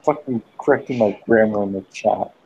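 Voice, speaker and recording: A man's voice: fairly low pitch, fairly quick, darkish tone, dull audio, slight background noise. A man hosting a casual voice chat stream, teasing his friends and swearing a lot.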